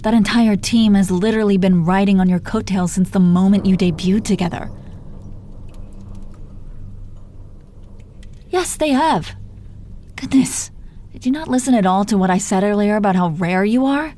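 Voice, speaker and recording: A woman's voice: mid-range voice, fairly quick, mellow, natural voice. A woman playing a teasing, protective female supervillain in her thirties in an intimate roleplay audio drama, foul-mouthed but coaxing, full of pet names. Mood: indignant, fond. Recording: noticeable background noise.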